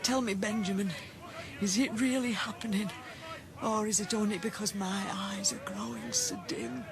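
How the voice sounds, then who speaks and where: A woman playing a gentle, ageing mare in a radio drama, asking things in a worried, anxious way. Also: medium pitch, expressive, moderate pace, crowd noise, natural voice. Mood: anxious, bewildered. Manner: worried, questioning.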